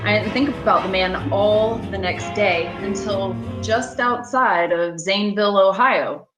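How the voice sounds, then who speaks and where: A woman's voice: mid-range voice, unhurried, close to an everyday voice. Woman acting an uneasy lone motorist over music. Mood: uneasy, reflective. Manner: dramatic first-person storytelling. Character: uneasy, haunted woman motorist driving alone cross-country. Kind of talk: amateur radio thriller acting.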